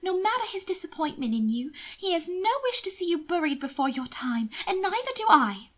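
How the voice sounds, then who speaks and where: Casting: female reader as a scheming young noblewoman, sugary and coaxing, high voice with sweeping melody, for storybook drama. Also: sing-song, a little fast. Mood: assured, persuasive. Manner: gushing, affected endearments, coaxing. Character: manipulative, doting upper-class young lady, twin sister. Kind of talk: audiobook character acting.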